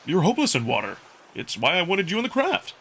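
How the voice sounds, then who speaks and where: A man's voice: sits high, wildly swooping pitch, fast, fairly bright tone, a little hiss or hum, voice pushed up, exaggerated voice. A man voicing a big, furry, protective male alien in a visual novel, earnest and worried. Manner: earnest, concerned. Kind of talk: visual novel character acting.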